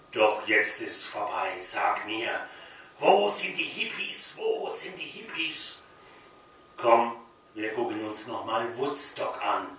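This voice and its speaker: A man's voice: medium pitch, sharp tone. An older man performing comic poetry live on a cinema stage. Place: dark cinema stage at a lectern. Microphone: distant camera in the audience. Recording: very echoey hall from far off, faint noise.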